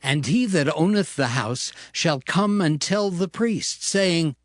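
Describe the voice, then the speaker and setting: A man reading scripture as narrator, in a measured, solemn, scripted manner. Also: a little high, lively intonation, dry recording, clean studio sound. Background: music.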